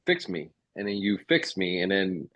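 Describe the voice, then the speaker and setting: Man, lowish voice, agreeable, short remarks, casual talk, dry and muffled. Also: sing-song, moderate pace, a bit bright, no echo. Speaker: podcast guest. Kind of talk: casual podcast conversation.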